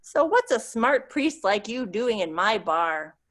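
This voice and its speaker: A woman's voice: mid-range voice, sing-song, takes it slowly, a bit bright, heavily acted. A woman reading the lines of a friendly American male bartender in his thirties, chatty and a little cagey.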